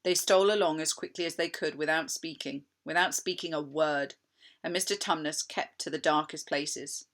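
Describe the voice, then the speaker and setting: A woman reading a children's story aloud in an expressive narrating style. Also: low voice, moderate pace. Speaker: storybook reader.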